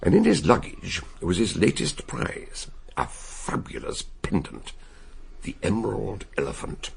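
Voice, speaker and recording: An actor playing an eccentric elderly detective in a radio drama, with a genial, learned manner and chuckling, expressive delivery. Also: male voice, on the low side, measured pace, slight background noise, heavily acted. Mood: amused. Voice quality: gravelly, breathy voice. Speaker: amateur detective. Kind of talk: 1960s radio drama acting.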